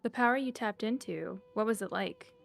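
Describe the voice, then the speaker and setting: A woman's voice: medium pitch, moderate pace, gravelly, soft background music. A woman streamer giving casual gameplay commentary and reading dialogue choices aloud. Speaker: game streamer.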